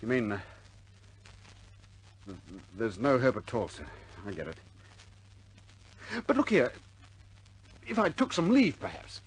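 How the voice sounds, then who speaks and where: Plucky, husky-voiced RAF airman. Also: medium pitch, huge pitch swings, a little slow, pauses often, exaggerated voice, low-quality recording. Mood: pleading protest. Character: plucky young male RAF bomber airman, frank and stubborn. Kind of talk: 1950s British war film acting.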